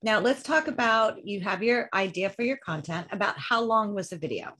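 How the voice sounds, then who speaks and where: A woman's voice: mid-range voice, expressive, normal speed, fairly bright tone. A woman hosts a chatty interview, steering with questions and affirming her guest. Her delivery is conversational and supportive. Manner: encouraging, asks leading questions. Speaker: podcast host. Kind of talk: casual interview talk.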